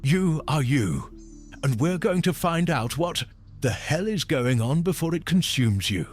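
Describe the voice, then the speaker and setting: A man's voice: a little high, lively intonation, unhurried, soft background music, pitched above the performer's own voice. A man voicing a determined male investigator who speaks urgently and earnestly.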